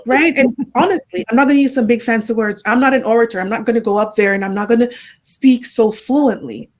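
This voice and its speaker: A woman's voice: on the high side, quick pace. A woman with a Jamaican accent, a podcast guest talking through a Bible story in a reflective, casual way. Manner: reflective, often adds "right?". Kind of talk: casual faith podcast chat.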